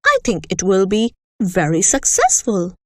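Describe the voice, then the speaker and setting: A woman voicing a furious, towering headmistress who shouts with contempt at children. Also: mid-range voice, wildly swooping pitch, unhurried, no echo, clean studio sound. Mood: scornful.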